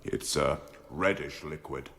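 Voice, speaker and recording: An actor playing an uneasy, evasive doctor giving evidence in a radio drama, hesitant and subdued. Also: male voice, very deep voice, unhurried, gravelly, a bit bright, exaggerated voice. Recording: long hall reverberation.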